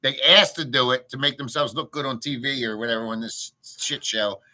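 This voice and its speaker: A man's voice: mid-range voice, steady tone, normal speed, bright, forceful tone. Blunt, crude man ranting on stream. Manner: blunt, crude, rambling, reads chat aloud. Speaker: livestream host. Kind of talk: casual ranting livestream chat. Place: home in a rural province.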